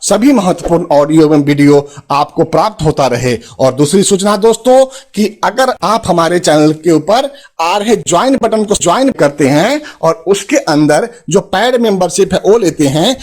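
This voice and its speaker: High-pitched Indian man presenting advice. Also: animated, normal speed, a little mellow. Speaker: host of a finance and insurance advice channel. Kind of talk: presenter addressing viewers with a consumer alert.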